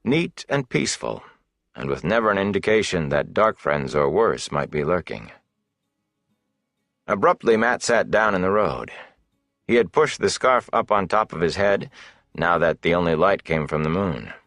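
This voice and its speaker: Deep-voiced male fantasy audiobook narrator. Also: big pitch swings, moderate pace, vocal fry, a bit bright, no room sound, clean studio sound. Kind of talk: scripted narration of a fantasy novel.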